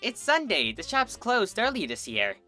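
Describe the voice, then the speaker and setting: Woman reading a hyper cartoonish pony mare, bright and gushing, wide pitch swings. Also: normal speed, faint music behind. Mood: teasing. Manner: bouncy, gushing, excitable. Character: bubbly party-loving pink pony mare.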